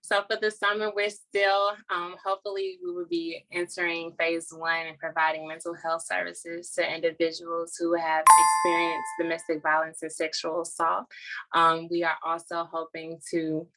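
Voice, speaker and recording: Deep-voiced woman, earnest interview guest. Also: monotone, moderate pace, sharp tone, poor audio. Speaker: nonprofit founder, interview guest. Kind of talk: explanatory interview talk.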